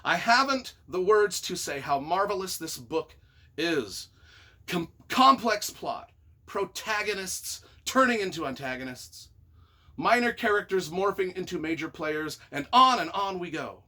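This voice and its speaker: A man reading reviews aloud and reacting casually in an expressive, wry, self-deprecating way. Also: high-pitched, unhurried. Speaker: author and YouTuber.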